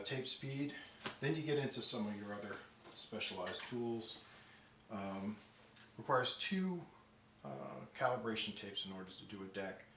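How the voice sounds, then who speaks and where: Low-voiced man, roomy distant recording. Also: fairly bright tone. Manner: halting, methodical explaining. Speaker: audio deck restorer demonstrating work. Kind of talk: unscripted technical walkthrough. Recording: roomy, distant mic, faint noise.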